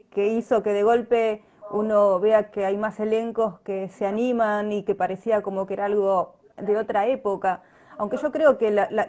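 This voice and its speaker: An Argentine woman talks as a guest in a casual online interview. Her voice has a middle pitch. She is conversational and often checks in with short tag questions. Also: a little mellow. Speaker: interview guest, radio drama actress. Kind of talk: casual live online chat. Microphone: online video call.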